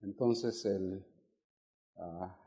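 An older man from Honduras, his Honduran accent softened by decades abroad, teaches scripture to a congregation. His voice sits low and mellow, and he moves at an unhurried pace, stretching important words. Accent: Honduran Spanish, faded. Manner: measured, stretches key words, asks the congregation questions. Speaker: guest preacher. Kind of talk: Bible teaching sermon. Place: church sanctuary.

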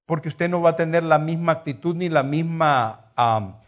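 A man's voice: a little high, normal speed. A middle-aged man with a Salvadoran accent preaching a conversational sermon, teaching with rhetorical questions and repeated phrases. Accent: Salvadoran Spanish.

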